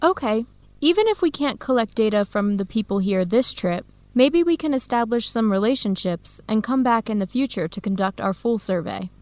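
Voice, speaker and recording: An actress playing an eager, earnest young Ethiopian-American student researcher in a radio drama for English learners, speaking clearly and in measured phrases. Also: female voice, on the high side, lively intonation, dry recording, natural voice. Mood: friendly, upbeat. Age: young adult.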